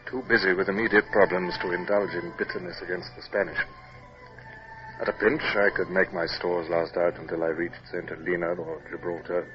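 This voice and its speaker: An actor playing a stern, formal British naval captain with a clipped, commanding manner in an old radio drama. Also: male voice, low voice, natural voice. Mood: reflective narration. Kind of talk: 1950s radio drama acting with first-person narration. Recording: muffled, noisy old 1950s radio recording.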